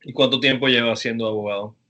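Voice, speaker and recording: Bright-voiced male professor hosting. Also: mid-range voice, fairly even intonation, fast. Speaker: professor interviewing a guest for his class. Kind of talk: class interview over video.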